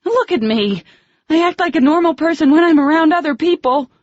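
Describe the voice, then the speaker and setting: A woman reading an audiobook, voicing a quick-tempered young woman who snaps back sharply. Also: animated, no echo. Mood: furious. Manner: shrill when angry, sharp retorts. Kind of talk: audiobook dialogue. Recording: dull lo-fi dry audio.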